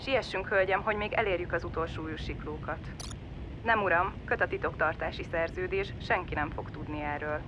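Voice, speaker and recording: A woman's voice: lowish voice, close to an everyday voice. An actress playing a servile female android park attendant in a sci-fi audio drama, courteous and formulaic like customer service. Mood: polite, businesslike. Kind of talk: science fiction audio drama acting. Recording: muffled lo-fi radio relay with noise.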